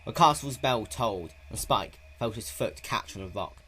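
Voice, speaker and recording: A man's voice: lowish voice, expressive, moderate pace, bright, forceful tone, breathy edge, faint music behind. A man narrating a story in a dramatic, scripted reading style.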